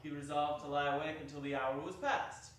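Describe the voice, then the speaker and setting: A man with a high voice narrates from a stage, leaning into each phrase for drama. He sounds distant, with heavy hall echo and a little room noise. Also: flat delivery, a little fast, fairly bright tone. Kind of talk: theatrical narration. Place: small arts center stage. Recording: echoey hall, mic far off.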